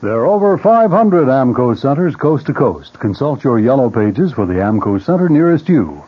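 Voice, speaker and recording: A man's voice: medium pitch, big pitch swings, normal speed, slightly rough. A man working as a commercial announcer, reading a scripted radio ad in 1970s style. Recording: muffled old radio broadcast. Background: music.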